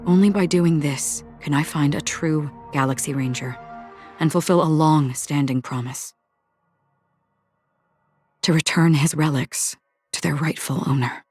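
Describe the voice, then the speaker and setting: Brief: poised, polite fugitive, low-pitched, score audible underneath. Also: female voice, darkish tone. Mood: calm. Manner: polite, composed, measured. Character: calm, courteous woman on the run. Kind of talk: video game cutscene dialogue. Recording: game music behind the voice.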